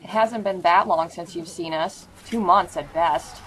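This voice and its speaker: A woman's voice: mid-range voice, very animated, a little slow, creaky, a little hiss or hum, poor audio. A woman playing a blunt former team member in an audio drama, wry and casual.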